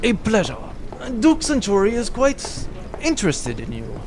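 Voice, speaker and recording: A man's voice: sits high, huge pitch swings, unhurried, a little mellow, a little hiss or hum, heavily acted. A man voicing a stiff, overly polite male steward on a luxury cruise ship in a comedy audio drama. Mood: formal.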